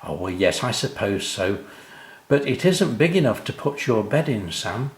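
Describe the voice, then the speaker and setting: A man voicing a kindly, fretful mother in a children's story, with a hesitant, gently chiding manner. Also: on the low side, very animated, normal speed, echoey room. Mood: worried. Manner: worried, hesitant, gently scolding. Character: kindly, fretful mum of a small boy.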